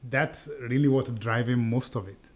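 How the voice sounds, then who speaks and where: A middle-aged man with a Nigerian accent, co-hosting a conversational podcast. He speaks at an ordinary pitch and tells his story earnestly, circling back and repeating phrases as he goes. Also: moderate pace. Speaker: podcast co-host.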